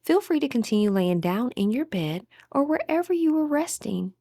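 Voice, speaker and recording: A woman leading a guided meditation in a measured, instructive way, with drawn-out phrases and audible breaths. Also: high voice, very animated, warm tone, no room sound, studio quality.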